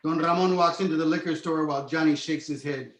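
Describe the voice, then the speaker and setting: Male narrator for script read-through, slightly high voice, echoey room. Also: steady tone, fast talker. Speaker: stage directions narrator.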